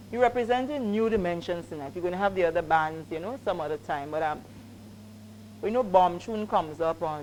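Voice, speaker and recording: A woman's voice: lowish voice, slight background noise, poor audio. A woman with a Grenadian accent hosting an on-site interview, asking chatty, teasing questions. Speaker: event host interviewer.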